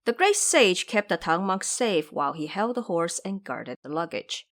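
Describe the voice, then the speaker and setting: Female narrator for an epic audio drama: expressive, measured storytelling with very lively intonation. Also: fairly low pitch.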